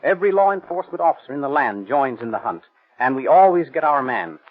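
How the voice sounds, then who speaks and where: A man's voice: mid-range voice, big pitch swings, fast talker. An American man speaking as a police captain, giving a stern, stiffly read formal radio address. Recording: muffled noisy 1930s radio recording.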